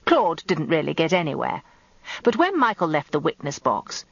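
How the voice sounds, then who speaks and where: British female narrator, older, prim and precise. Lively intonation, close dry sound, radio drama storytelling. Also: deep voice, moderate pace, vocal fry, no room sound, dull audio. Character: proper, tart older English barrister's wife recounting events. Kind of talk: first-person dramatic narration, confiding storytelling.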